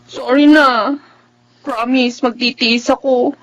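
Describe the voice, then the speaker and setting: A woman's voice: on the high side, steady tone, slow pace, low-quality recording. A woman playing a long-suffering, devoted young wife in a radio drama, with a plaintive, pleading delivery. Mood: plaintive, hurt. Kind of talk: radio drama acting with first-person narration.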